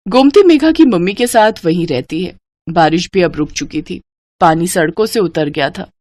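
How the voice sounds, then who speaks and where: A woman narrating a scripted animated moral story in a steady, plain storytelling manner. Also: lowish voice, normal speed, no room sound.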